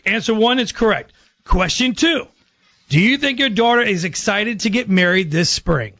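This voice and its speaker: A man's voice: sits high, lively intonation, moderate pace, sharp tone, lo-fi sound. An American man hosting a radio show, talking casually to callers in a phone-in prank segment. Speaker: radio host.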